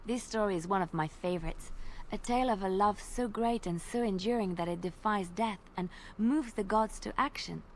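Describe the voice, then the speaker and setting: Game dialogue: a woman playing a soft-spoken romantic bard, earnest, mid-pitched. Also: moderate pace, slight background noise, close to an everyday voice, poor audio. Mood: wistful. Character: gentle young woman bard, wistful romantic. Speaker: game character telling a tale. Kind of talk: scripted video game dialogue.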